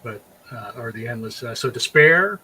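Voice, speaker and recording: A man with a bright, forward voice at a middle pitch, chatting casually as a host. Some background noise sits faintly behind him. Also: animated, moderate pace. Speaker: host of a drink and draw show. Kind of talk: casual group chat.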